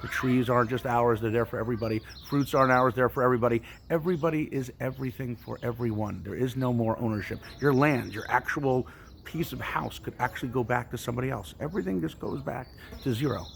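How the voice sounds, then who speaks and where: Male youth storyteller and teacher, colloquial and expressive, brisk pace, gravelly fry, light noise behind. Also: mid-range voice. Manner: casual, colloquial, acts out examples. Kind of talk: animated religious storytelling for children.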